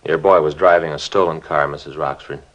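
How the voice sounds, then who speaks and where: An actor playing a grave, courteous American police detective in an old radio crime drama, speaking in a measured, understated way. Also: male voice, extremely low pitch, steady tone, highs cut off. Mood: grave, gentle.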